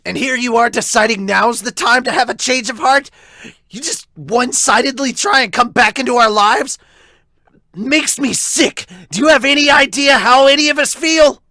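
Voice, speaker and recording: A man's voice: extremely high pitch, normal speed, dry recording, voice pushed up, exaggerated voice. Angry young man, bitter and sarcastic, hiccups; a man reads him in a fan dub. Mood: furious. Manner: bitter, sarcastic, rising to shouting. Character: angry young man lashing out at his father.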